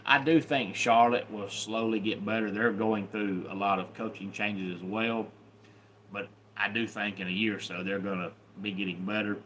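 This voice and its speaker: Bright-voiced Southern man chatting live. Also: lowish voice, fairly even intonation, normal speed, slight background noise. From Southern United States. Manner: folksy and welcoming, greets viewers by name. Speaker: YouTube channel host. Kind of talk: casual live-stream chat.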